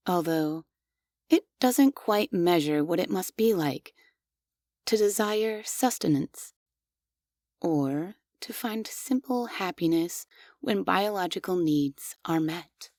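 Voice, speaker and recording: A woman voicing a devoted, obsessive female android maid in an audio roleplay, speaking softly and formally with quietly unsettling questions. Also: lowish voice, no echo, clean studio sound, full character voice. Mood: probing. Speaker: voice actress.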